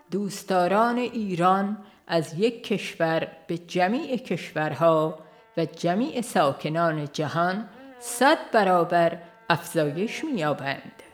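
A woman reading a religious audiobook in a formal, measured way, as a scripted narration. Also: on the low side.

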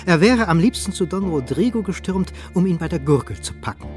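A man's voice: sits high, lively intonation, fairly quick, soft background music. A man narrating a literary radio play in a scripted, storytelling style.

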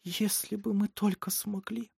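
A woman voicing a frightened, exhausted peasant mother in an audiobook, pleading tearfully and slowly. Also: high-pitched, warm tone, no room sound, clean studio sound, pitched above the performer's own voice. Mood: weary resignation. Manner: tearful, slow, drawn-out pleading.

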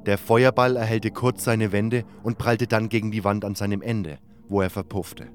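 Male narrator, fantasy audio drama. Lower register, scripted first-person storytelling, music underneath. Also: steady tone, normal speed. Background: background music.

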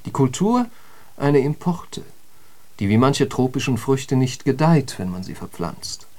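An eloquent intellectual holds forth in a literary radio play. His middle-pitched male voice is emphatic and declamatory, with the bite of a polemicist. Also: big pitch swings, unhurried, mellow. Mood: scornful. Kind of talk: literary radio drama monologue.

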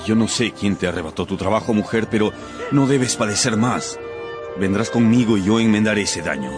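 An actor playing a magnanimous, guarded mayor in a radio drama, with a gentle, measured, paternal manner. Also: male voice, lowish voice, music in the background, lightly acted. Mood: protective, reassuring. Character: magnanimous, guarded town mayor with a hidden past.

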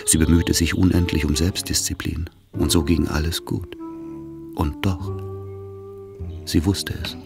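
A man narrating a period radio drama in a grave, measured literary manner. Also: deep voice, level tone, creaky. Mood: grave, reflective. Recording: music underneath the voice. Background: music.

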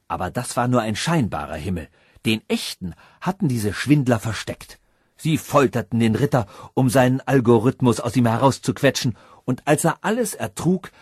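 Male audiobook narrator, husky tone, dry close sound, dramatic fairy-tale telling. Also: medium pitch, no room sound.

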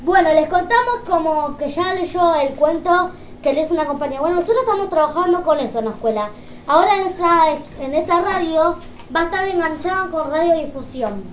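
A woman's voice: very high-pitched, fairly quick. A woman with a Rioplatense Argentine accent chatting casually as a radio presenter. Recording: roomy echo, background noise.

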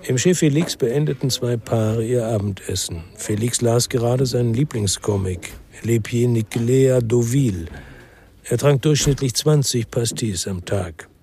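A man's voice: mid-range voice, moderate pace, dark tone, natural voice. An actor playing a world-weary male ex-policeman who narrates his own crime story in a sober, laconic way for radio drama. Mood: brooding.

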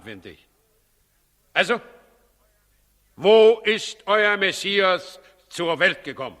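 A very sharp, forceful voice in a long-reverberating hall: an imperious tyrant king in a 1960s radio drama. He commands and questions with impatient sarcasm and suspicion, always ready to threaten. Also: a little high. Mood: menacing, suspicious. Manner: commanding, sarcastic, menacing interrogation. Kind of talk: 1960s German radio play acting.

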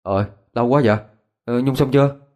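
A man's voice: medium pitch, brisk, darkish tone, natural voice. A man playing a young male student in a ghost story, a concerned friend who talks colloquially and hesitantly. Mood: urgent.

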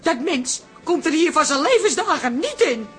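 An actress playing a bossy, suspicious grown daughter in a Dutch radio comedy, scolding and sharp-tongued. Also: female voice, sits high, sing-song, normal speed, faint music behind. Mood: indignant, scornful. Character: bossy, suspicious adult daughter doting on her cat.

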